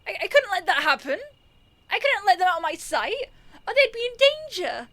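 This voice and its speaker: An obsessive young woman in an audio roleplay, with an extremely high voice. She stammers and pleads, clingy and possessive, sounding desperate to hold on to the one she loves. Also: very animated, moderate pace, bright, forceful tone, exaggerated voice.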